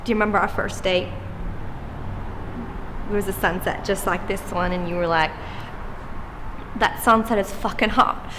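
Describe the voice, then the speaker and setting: A woman's voice: mid-range voice, fairly even intonation, normal speed, pauses often, big-room sound, background noise, natural voice. A woman playing a starry-eyed naive girlfriend. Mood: lovestruck. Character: dreamy lovestruck small-town girlfriend.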